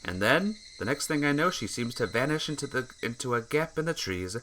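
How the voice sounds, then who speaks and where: A playthrough host reading a visual novel aloud as expressive, dramatic scripted narration. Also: medium pitch, brisk, a little hiss or hum, poor audio. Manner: dramatic reading, acts out dialogue.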